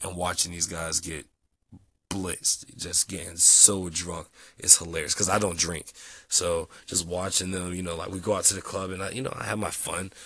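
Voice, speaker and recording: Young male vlogger, sick, nasal, low and husky, dry close sound, casual rambling delivery. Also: normal speed, dry recording. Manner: relaxed, rambling with many ums. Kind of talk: casual audio log.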